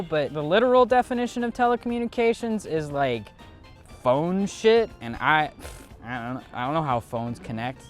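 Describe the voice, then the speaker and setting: A young man hosting a comedic video essay, sarcastic, profane and self-deprecating. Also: on the high side.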